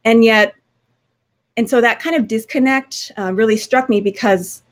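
A woman's voice: fairly high pitch, normal speed. A woman historian with a scratchy voice giving informal panel remarks, conversational and searching for her words.

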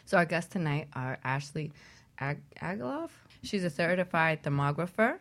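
A woman with a notably low voice co-hosts a radio talk show. She keeps things relaxed and conversational as she introduces topics and guests. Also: normal speed.

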